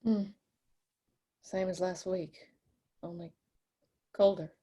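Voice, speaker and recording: A woman's voice: lowish voice, fairly even intonation, slow pace, mellow. A woman reading a curious, hesitant young widow of twenty-one who stammers when caught snooping. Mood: timid. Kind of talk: screenplay table read.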